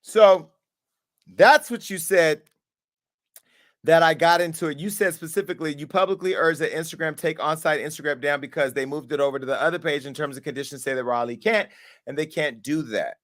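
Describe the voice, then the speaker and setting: Man, high-pitched voice, gossip show host, confrontational, emphatic, repeats for effect. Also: brisk, a bit bright. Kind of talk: livestream commentary.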